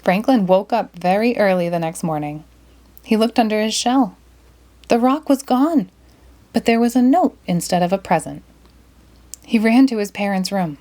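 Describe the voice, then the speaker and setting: A woman narrating a children's picture book aloud in an expressive, deliberate way. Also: mid-range voice.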